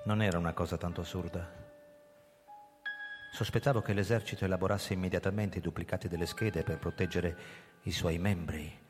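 Actor as a low-voiced, brooding framed police commissioner. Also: male voice, fairly even intonation, brisk, close to an everyday voice. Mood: bitter, defensive. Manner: brooding, dramatic. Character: framed police commissioner, bitter fugitive man narrating his downfall. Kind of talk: radio drama acting and first-person narration. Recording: echoey hall, music underneath. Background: music.

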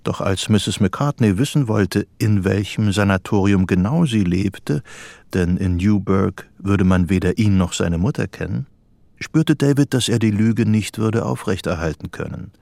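Male radio drama narrator, lowish voice, gravelly, composed literary delivery, close dry sound. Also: measured pace, warm tone, no room sound.

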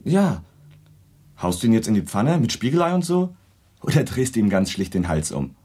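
A cheeky young son in a radio drama. His husky voice moves up and down in a lively way, with a blunt, teasing and sardonic delivery. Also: fairly low pitch, normal speed. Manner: flippant, direct, teasing. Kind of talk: German radio play acting.

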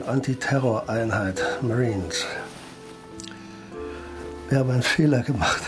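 An actor plays a laconic male war veteran in a radio thriller. He talks in short fragments at a middling pitch, with music clearly behind him. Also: darkish tone, natural voice. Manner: terse, fragmentary phrases. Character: laconic male ex-marine, wounded veteran turned investigator. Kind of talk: radio crime drama acting.

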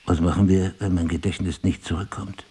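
An actor playing a wry, disoriented husband with amnesia, musing and articulate, in a German radio play. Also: male voice, sits low, steady tone, fairly quick, warm tone, husky. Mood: bewildered.

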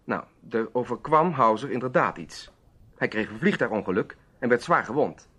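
A man's voice: medium pitch, expressive, normal speed, a bit bright, slightly rough. An actor playing an earnest, bold adventurer telling his story emphatically in a Dutch radio drama.

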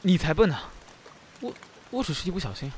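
A man's voice: high-pitched, very animated, brisk, a little mellow, roomy sound, in a large hall, slight background noise. An actor playing a proud, prickly young male spirit in human form, grumbling and easily flustered, in a fantasy audio drama. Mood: flustered, huffy.

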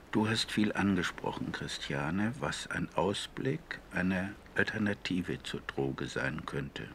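A man conducting a reflective documentary radio interview in deliberate, measured, earnest phrasing, on a 1970s recording. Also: deep voice, gravelly, a bit bright, slight background noise.

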